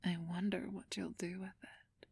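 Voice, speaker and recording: A woman playing a flirtatious, haughty ancient vampire thief in a whispered ASMR roleplay, teasing and condescending with a drawn-out purr. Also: lowish voice, flat delivery, darkish tone, no room sound, full character voice. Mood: teasing, seductive. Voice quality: breathy whisper.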